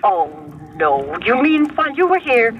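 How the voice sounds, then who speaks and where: A woman's voice: fairly high pitch, big pitch swings, normal speed. An actress playing a nervous, apologetic elderly woman on the telephone in a radio drama. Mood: nervous, concerned. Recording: dull, faintly noisy old radio broadcast.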